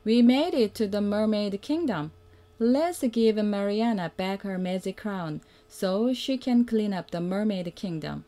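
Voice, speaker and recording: A woman reading a children's picture book aloud as a mother would, in a simple, deliberate way that invites the young listener to join in. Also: medium pitch, mellow. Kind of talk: read-aloud children's storytelling.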